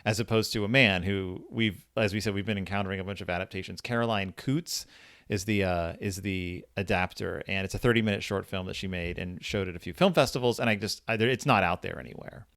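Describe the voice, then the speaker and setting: A man co-hosting a podcast and casually discussing a story, with halting phrasing and repeated words. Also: deep voice, fairly bright tone, dry recording, studio quality. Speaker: podcast host.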